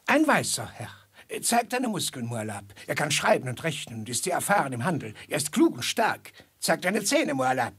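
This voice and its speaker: An actor playing a wily but fatherly male slave trader in a 1950s radio drama, with a husky, creaky voice. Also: fairly high pitch, very animated, moderate pace, hardly any pauses, a bit bright, exaggerated voice. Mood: brisk selling. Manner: haggling, theatrical.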